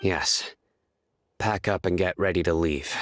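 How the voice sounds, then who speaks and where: An actor playing a stern, cold, menacing warrior in a video game, speaking in a terse deadpan. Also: male voice, sits low, measured pace, faint music behind. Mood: grim, composed.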